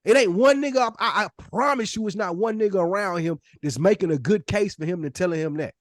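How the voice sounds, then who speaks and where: A man of forty with a high-pitched voice co-hosts a casual podcast. He argues bluntly and insistently, swearing freely and throwing out slangy rhetorical questions. The sound is tight and dry, with no room on it. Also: fast, no echo. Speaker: podcast co-host. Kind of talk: heated casual podcast debate.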